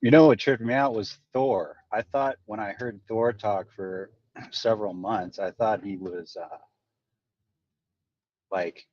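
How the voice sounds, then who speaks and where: Deep, laid-back man who trails off softly, with dry, dull voice chat audio. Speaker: voice chat participant. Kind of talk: casual chat.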